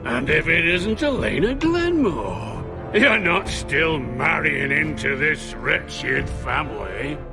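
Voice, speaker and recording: A very slow, creaky male voice plays a contemptuous man, dripping scorn. It is dramatic game dialogue with music underneath. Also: on the high side, big pitch swings, exaggerated voice.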